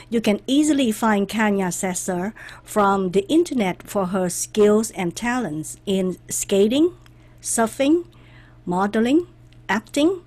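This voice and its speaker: A woman hosting an interview, reading a guest introduction aloud and then asking questions in a deliberate way. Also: medium pitch, a little mellow. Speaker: interview host.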